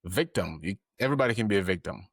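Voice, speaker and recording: Deep-voiced earnest male podcast host. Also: animated, quick pace, vocal fry, dry recording, clean studio sound. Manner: earnest, rambling with fillers. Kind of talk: heartfelt interview.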